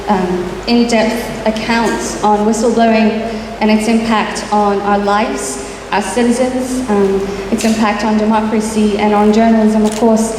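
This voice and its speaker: Panel moderator: woman, polite, pausing often, recorded in a reverberant hall with clear background noise. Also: mid-range voice. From Iraq. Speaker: event moderator, organizer. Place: large hall at public panel event. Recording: distant, echoey, noisy hall.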